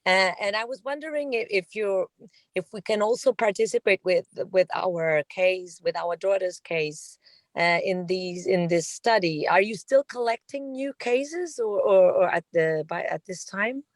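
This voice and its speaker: A woman's voice: medium pitch, measured pace, no echo. A woman from Portugal with a Portuguese accent, a parent asking a question on a video call and searching for her words.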